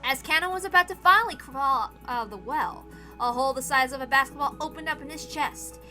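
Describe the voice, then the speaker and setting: A woman's voice: high voice, fairly quick, bright, forceful tone, soft background music. A woman narrating a visual novel as a dramatic scripted reading.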